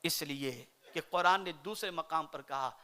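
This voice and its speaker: A man from India, preaching a sermon in an oratorical style that swings between loud declaiming and soft recitation. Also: a little high, normal speed, smooth. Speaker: Islamic preacher.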